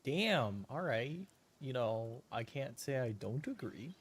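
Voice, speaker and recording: A man giving casual, laid-back commentary as a game streamer, in halting phrases. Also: mid-range voice, animated, husky. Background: game music.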